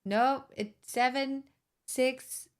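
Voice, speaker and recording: A woman's voice: high voice, fairly even intonation, drawn-out words. A woman in her twenties streaming live, chatting casually with joking, self-mocking banter. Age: 20s.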